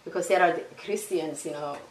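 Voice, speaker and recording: A woman's voice: sits low, distant mic. A woman with a Somali accent speaks earnestly, stopping and restarting often. She sits some way back from the mic in a roomy space, so her voice echoes a little. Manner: earnest, halting, many restarts and "you know". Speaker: guest. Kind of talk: casual testimony interview.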